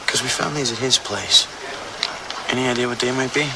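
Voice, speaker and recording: A man's voice: mid-range voice, brisk, natural voice. A man playing an awkward police detective in a crime drama, speaking in a hesitant, understated way. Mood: careful probing. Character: awkward, gentle-probing male police detective. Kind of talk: naturalistic crime drama acting. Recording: noisy location sound with ambience.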